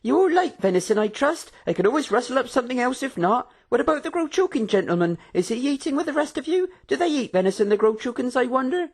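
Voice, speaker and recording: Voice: sits high, very animated, quick pace, hardly any pauses, pitched above the performer's own voice, full character voice. A reader voicing a motherly, hospitable hostess who fusses and chatters. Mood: fussing. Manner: fussy, chatty. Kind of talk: audiobook character voice.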